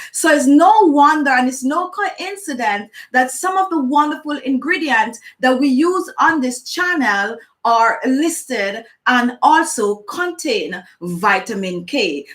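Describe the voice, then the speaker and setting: A middle-aged Jamaican woman with a strong accent hosts a beauty chat, rambling warmly from one tip to the next. Her voice sits a little high for a woman, and she calls out viewers by name as she goes. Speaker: beauty channel host. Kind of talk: casual live chat and beauty tips.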